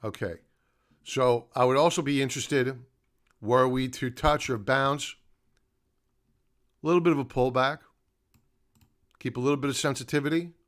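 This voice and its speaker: A man's voice: medium pitch, normal speed, long pauses. Middle-aged man hosting a live stream. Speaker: live stream host, stock market analyst. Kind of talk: unscripted live commentary, chatting to viewers.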